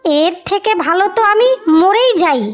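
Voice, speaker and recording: Casting: woman as selfless cartoon sister, extremely high girlish pitch, earnest and emphatic, music underneath. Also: lively intonation, moderate pace. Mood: stern, protective. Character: devoted, self-sacrificing sisters in a fairy tale. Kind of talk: cartoon fairy-tale voice acting.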